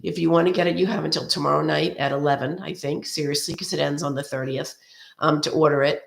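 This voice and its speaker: Hoarse woman chatting in a roomy space. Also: low-pitched, fairly even intonation, fast talker. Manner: rambling and friendly, many ums and restarts, greets viewers by name. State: hoarse from a cold. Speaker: card-making demonstrator hosting a live stream. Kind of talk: chatty live craft tutorial. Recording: roomy echo.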